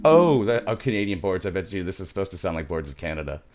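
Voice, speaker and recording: Man, middle-aged, lowish voice, informal tutorial host, thinking aloud, home studio mic. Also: big pitch swings, quick pace, over loud music. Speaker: music production tutorial host. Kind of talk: casual software tutorial. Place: small home studio room.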